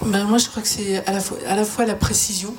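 A woman's voice: little pitch movement, brisk, a crowd in the background. A woman speaking as a production guest on an informal panel, tentative and searching in her phrasing. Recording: faint low rumble behind voice.

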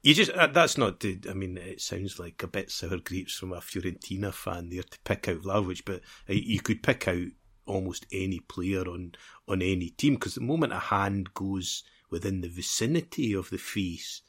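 A man with a fairly low voice talks football as a podcast co-host. He is casual and rambling, restating phrases while he works toward his point, with a wry, teasing edge. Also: normal speed, fairly bright tone, husky. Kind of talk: casual sports chat.

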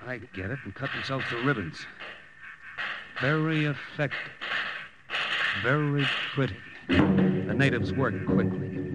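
An actor playing a wry, urgent American army officer in a clipped, hard-boiled old-time radio war drama. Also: male voice, mid-range voice, lightly acted. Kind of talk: 1940s-style radio drama acting and narration. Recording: very noisy vintage radio recording.

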